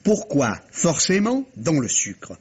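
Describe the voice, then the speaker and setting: An actor playing a courteous, teasing police inspector with a deliberate manner in a 1950s French radio mystery. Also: male voice, fairly high pitch, huge pitch swings, darkish tone, breathy edge, exaggerated voice. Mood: amused. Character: wry, courteous police inspector explaining a murder case. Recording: dull vintage radio recording.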